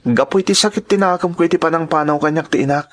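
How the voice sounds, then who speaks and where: Earnest, grateful young male student, played by a man, Ilocano accent, slightly high register, heartfelt drama delivery. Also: fast talker, close to an everyday voice. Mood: solemn, heartfelt. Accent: Filipino, Ilocano. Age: young adult. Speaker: drama actor. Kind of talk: sentimental radio-style drama acting.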